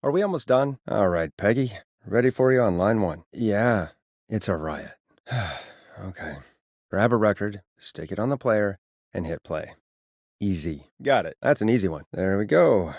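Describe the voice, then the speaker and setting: A man's voice: fairly low pitch, lively intonation, moderate pace, a little mellow, no echo, clean studio sound. A man voicing a video game character, a harried but kind late-night radio DJ who is wry and reassuring and sometimes stammers. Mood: wry, dry. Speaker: radio host. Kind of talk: video game voice acting, conversational radio patter.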